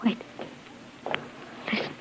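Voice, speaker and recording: A woman's voice: takes it slowly, a bit bright. An actress playing a proud, fearful young woman with intense, urgent delivery in a 1950s radio drama. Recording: dull, noisy vintage radio recording.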